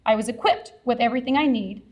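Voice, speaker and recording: High-voiced woman giving heartfelt speech. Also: a little mellow. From Edinburg, Texas. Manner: earnest, heartfelt, measured. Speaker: science teacher accepting an award. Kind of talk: prepared acceptance speech. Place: award ceremony venue.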